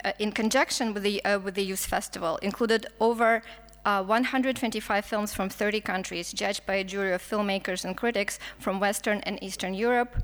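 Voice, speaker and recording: A Russian-accented woman delivers a scholarly conference talk at a middling pitch, tripping over words and restarting often. Her voice rings out with the long reverb of a lecture hall. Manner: halting, frequent restarts and fillers. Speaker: film historian lecturer. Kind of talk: academic conference talk, read from notes.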